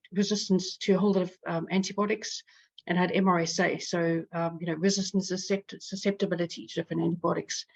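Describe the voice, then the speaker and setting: South African woman, patient advocate on a panel; low voice, lo-fi video-call sound, careful and halting. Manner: measured, hesitant, many fillers. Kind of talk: panel discussion, joining online.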